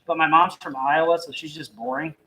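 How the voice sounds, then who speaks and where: A young man from South Carolina talks through a computer build in a relaxed, chatty way. His voice sits a little high. The studio recording carries a clear hiss and background noise. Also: fairly quick, rough recording. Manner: relaxed, chatty, explains as he works. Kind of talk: casual hands-on demo chat. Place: hot studio.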